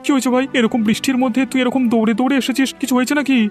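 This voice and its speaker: Casting: male actor as humble, anxious cartoon villager, very high pitch, pleading delivery, light music underneath. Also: flat delivery, racing. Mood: alarmed. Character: meek, worried poor male villager in debt. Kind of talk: Bengali cartoon voice acting. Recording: music behind the voice.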